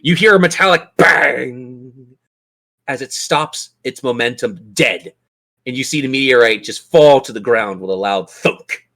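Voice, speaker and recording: A man's voice: medium pitch, unhurried, sharp tone. A man acting as game master, narrating and running a tabletop roleplaying game.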